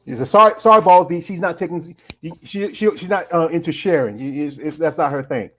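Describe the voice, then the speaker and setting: Middle-aged male host, rambling, full of "you know" fillers, slightly higher voice. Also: fairly quick, a bit bright. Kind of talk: casual live-stream interview.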